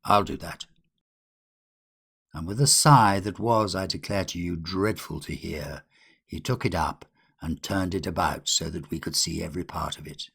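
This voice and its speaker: A man reading a literary ghost story as a narrator, in a measured, old-fashioned storytelling manner. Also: low voice, vocal fry, a bit bright, slightly rough. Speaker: audiobook narrator.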